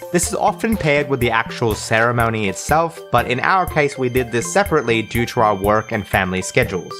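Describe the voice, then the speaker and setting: A young man telling scripted personal stories as a YouTube storyteller, expressive and self-deprecating. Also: medium pitch. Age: young adult. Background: background music.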